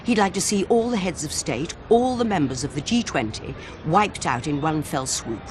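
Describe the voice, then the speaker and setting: An actress playing a stern older British spy chief in a game cutscene, clipped, authoritative and dry. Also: female voice, low-pitched, expressive, normal speed. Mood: firm, cold. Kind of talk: cinematic game cutscene acting. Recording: loud background noise.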